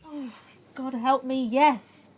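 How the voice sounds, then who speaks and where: A woman's voice: high-pitched, fairly even intonation, slow, husky, full character voice. An actress playing an awkward woman in a bittersweet comic audio play. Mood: flustered.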